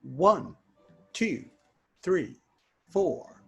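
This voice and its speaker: A man with a high, swooping voice and a creaky edge counts in a tempo very slowly as accompanying guitarist. Faint music sits behind him. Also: sing-song, fairly bright tone, muffled recording.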